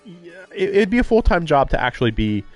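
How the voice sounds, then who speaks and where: A man giving casual live-stream commentary on a game, thinking aloud in halting, musing fragments. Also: a little high, sing-song. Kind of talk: casual live commentary on a visual novel playthrough. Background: music.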